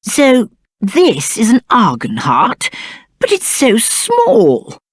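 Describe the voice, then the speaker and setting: Spoiled young game prince, British accent, high-pitched, very animated, haughty, petulant, aristocratic diction. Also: male voice, extremely slow, slightly rough, dry recording, studio quality, full character voice. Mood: disappointed, pompous. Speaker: video game voice actor. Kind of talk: theatrical video game character acting.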